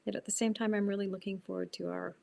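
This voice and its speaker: A woman's voice: medium pitch, level tone, fast talker, vocal fry, mellow, a little hiss or hum. A woman co-hosting a casual live-streamed talk, playful and teasing, laughing often. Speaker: co-host.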